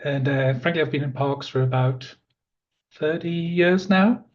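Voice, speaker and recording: A man in his fifties, a park planning manager, presents a webinar in a croaky voice, talking in an earnest, conversational way with many fillers. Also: fairly high pitch, unhurried. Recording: dull lo-fi audio.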